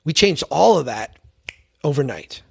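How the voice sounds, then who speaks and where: A man with an American accent and a fairly high voice speaks as a podcast guest. He explains his ideas reflectively, using examples and asking rhetorical questions along the way. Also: sing-song, unhurried, creaky, slightly rough, faint music behind. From small town in central Minnesota, US. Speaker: podcast guest, engineer and planner. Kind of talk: explanatory interview talk with anecdotes.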